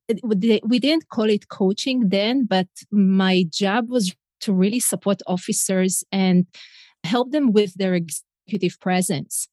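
A woman's voice: mid-range voice, a little mellow, dry recording, clean studio sound. A woman from Israel with an Israeli accent, a non-native English speaker, talking thoughtfully as a podcast guest. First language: Hebrew. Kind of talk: casual interview chat.

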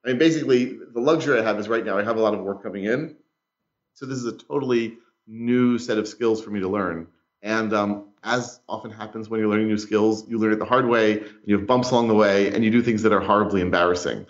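A man with a lowish voice tells a story on a podcast in a thoughtful, self-deprecating way. The recording sounds a little dull and lo-fi. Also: fast. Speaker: podcast co-host. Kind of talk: casual podcast chat.

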